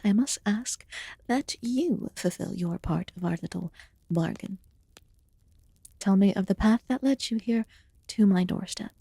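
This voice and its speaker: Woman as a slow, breathy, mysterious witch. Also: medium pitch, no room sound, full character voice. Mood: coaxing, curious. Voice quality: soft, breathy, often slipping into whisper. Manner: formal, archaic, measured phrasing. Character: calm, mysterious immortal witch tending a wounded human guest. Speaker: ASMR roleplay performer. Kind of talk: slow soft-spoken ASMR fantasy roleplay.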